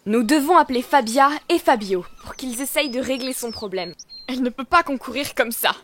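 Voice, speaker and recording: A woman voicing an excitable, earnest young female pet dog in a children's cartoon, with expressive, exclamatory delivery. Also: high voice, fairly quick, a little hiss or hum. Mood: urgent. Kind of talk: animated children's cartoon dub. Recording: music behind the voice.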